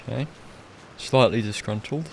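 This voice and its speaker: A man's voice: medium pitch, a little slow, gravelly, a little hiss or hum. A man giving casual live gameplay commentary as a streamer, with offhand muttered asides. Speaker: game streamer.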